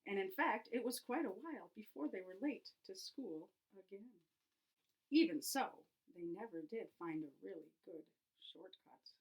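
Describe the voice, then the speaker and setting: A woman reading a picture book aloud to children in an expressive, teacherly storytelling way, engaging her young listeners. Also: medium pitch, dull audio. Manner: animated storyteller, pauses to ask young listeners questions.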